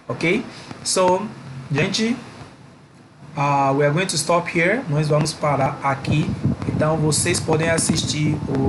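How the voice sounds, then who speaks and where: Male teacher, fairly high voice, hesitant, many pauses, loud background hiss and clicks. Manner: hesitant, with frequent pauses and fillers. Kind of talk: recorded online lesson.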